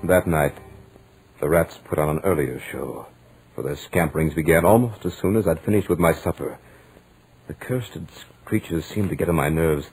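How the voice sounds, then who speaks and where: A man playing a scholarly gentleman lodger, narrating a haunted-house ordeal with dramatic, deliberate emphasis in 1940s radio style. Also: extremely low pitch, a little hiss or hum, low-quality recording. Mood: uneasy, suspenseful. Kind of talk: 1940s radio horror acting, first-person narration. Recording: vintage 1940s radio recording, music behind.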